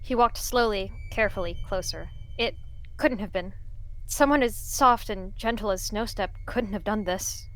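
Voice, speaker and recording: A young woman narrating a cat roleplay story in a gentle, reflective way. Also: mid-range voice, normal speed, low-quality recording.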